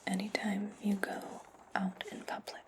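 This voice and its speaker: Sly, commanding witch played by a woman for ASMR fantasy roleplay: whispered, even-toned, slow and deliberate instructions to her servant. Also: medium pitch, lightly acted. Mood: sly, calm.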